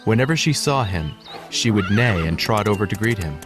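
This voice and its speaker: A man playing a gentle horse who narrates his life story in a measured, earnest storybook style. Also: on the low side, expressive, music in the background, natural voice. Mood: reflective.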